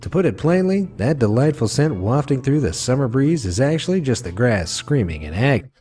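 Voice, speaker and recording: A man reading a scripted facts video as narrator, with a smooth, wry delivery. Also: medium pitch, very animated, brisk, warm tone, faint music behind.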